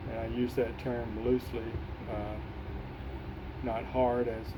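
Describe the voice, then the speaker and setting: Slow-talking East Texas man, flat delivery. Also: mid-range voice, background noise. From East Texas, USA. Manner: slow, halting, with many fillers and pauses. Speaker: city senior inspector. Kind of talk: reflective personal recording answering prompts.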